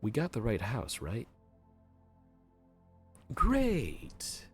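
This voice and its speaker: A man's voice: lowish voice, huge pitch swings, very slow, soft background music, full character voice. A man voicing a friendly, slightly flustered grim reaper supervisor who talks in an awkward, bantering way. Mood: chatty. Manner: chatty, bantering and a bit awkward. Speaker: voice actor. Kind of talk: audio roleplay acting.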